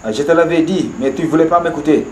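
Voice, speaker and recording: A man's voice: on the high side, fast talker, close to an everyday voice. A man voicing an opinionated know-it-all in a cartoon, matter-of-fact and self-assured, with a Senegalese accent. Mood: smug. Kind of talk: animated cartoon dialogue. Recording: roomy echo, faint background noise.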